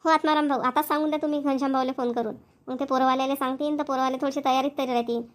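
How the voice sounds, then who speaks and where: A woman's voice: high-pitched, steady tone, racing, lightly acted. A woman voicing an eager, practical village wife in a homemade cartoon, chatty and insistent in Marathi with a Vidarbha accent. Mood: eager, urging.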